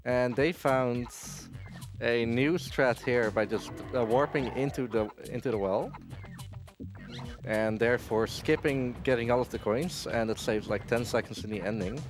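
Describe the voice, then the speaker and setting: A man's voice: medium pitch, a little slow. A man giving casual live speedrun commentary at a gaming marathon as he plays. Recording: game music behind the voice.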